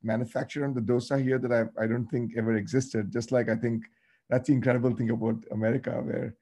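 A man, a podcast guest giving thoughtful, measured answers in an interview. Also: medium pitch, little pitch movement, warm tone. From India.

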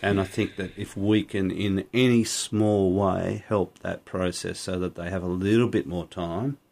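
Low-voiced man, rambling podcast host. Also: fairly even intonation, measured pace, gravelly, a little mellow. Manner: rambling, self-correcting, laughs often. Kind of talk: unscripted podcast chat. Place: small recording studio. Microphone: studio microphone.

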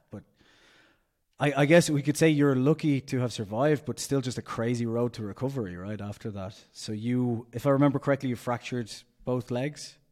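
A man's voice: medium pitch, darkish tone. A man from Ireland, hosting a podcast in chatty, upbeat, rambling conversation. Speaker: podcast host. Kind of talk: casual interview.

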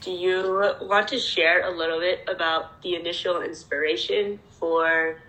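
Chill woman host, low monotone, kinda sharp tone, roomy echo. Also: measured pace. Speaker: podcast host. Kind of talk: casual interview questions.

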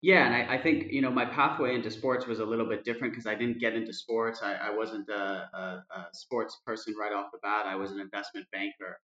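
A man with an American accent, answering interview questions in a measured, explanatory way. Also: fairly low pitch, steady tone, pushed and bright. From United States. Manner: measured, explanatory, with frequent "uh" fillers. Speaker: podcast guest. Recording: roomy, dull lo-fi sound.

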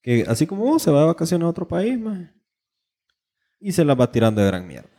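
A man's voice: on the high side, wildly swooping pitch, brisk, mellow. A man with a Honduran accent co-hosting a podcast in casual, slangy talk full of swearing. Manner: slangy, profane. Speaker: podcast co-host. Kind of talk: casual chat.

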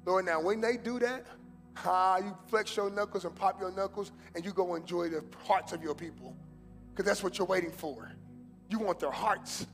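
A man with a high voice preaching to his congregation, slipping easily between casual street slang and fervent exhortation. His words ring out with a long hall echo and soft music underneath. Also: normal speed. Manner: casual street slang mixed with preaching, swings from loud fervor to hushed prayer. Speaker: pastor. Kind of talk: sermon. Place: church sanctuary.

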